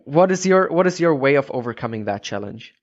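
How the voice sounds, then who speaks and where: Male podcast host, English, casual and halting, mid-pitched, slightly muffled recording. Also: lively intonation. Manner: halting, searching for words. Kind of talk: casual interview.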